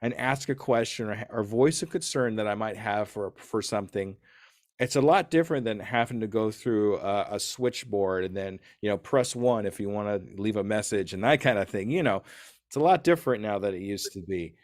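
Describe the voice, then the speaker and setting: A man's voice: on the low side, brisk, dry recording, clean studio sound. A man hosting a podcast interview in a casual, informal, slightly rambling conversational way.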